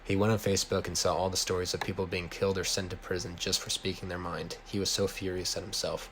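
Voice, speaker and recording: Deep-voiced American man reading a story. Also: level tone, fast, a bit bright. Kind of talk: reading own written story aloud. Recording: noisy home recording.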